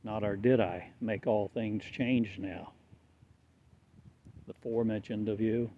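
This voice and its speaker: A man delivers a slow, incantatory prophetic monologue, rising loud and sinking quiet again. The sound is thin and phone-like, with a faint hiss behind it. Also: on the low side, steady tone. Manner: incantatory, repetitive, swelling and fading in loudness. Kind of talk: prophetic declamation. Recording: tinny phone-like audio with faint noise.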